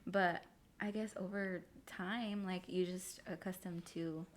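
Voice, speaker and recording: A woman's voice: lowish voice, steady tone, takes it slowly. A woman hosting a podcast whose first language is Spanish, chatty and curious as she asks her guest questions. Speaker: podcast host. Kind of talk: casual interview chat.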